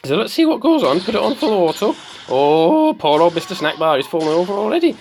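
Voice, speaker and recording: Someone putting on the voice of a slightly mad, excitable woman doing theatrical video game commentary, repeating phrases for effect. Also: medium pitch, fairly quick, soft background music, rough recording. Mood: excitable, curious. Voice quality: put-on comic voice. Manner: theatrical, repeats phrases, swoops between squeals and murmurs.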